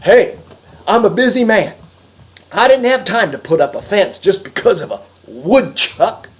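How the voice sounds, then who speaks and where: Frantic, careless father, performed by a woman: high, loud, urgent yelling for a comic storytelling piece. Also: animated, unhurried, close to an everyday voice. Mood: defensive. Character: Harry, frantic, careless young father, defensive and shouting. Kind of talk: live storytelling character voice.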